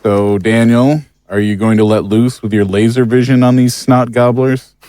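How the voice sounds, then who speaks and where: A man's voice: mid-range voice, fairly even intonation, normal speed, mellow, clear, resonant tone, no echo, clean studio sound, natural voice. A man playing a wry, inquisitive sidekick to monster hunters in an audio drama. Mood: wry, curious.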